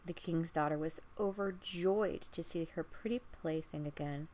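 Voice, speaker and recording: A woman storyteller reading a fairy tale aloud in a measured, expressive way. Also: low voice, poor audio. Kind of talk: scripted fairy-tale narration.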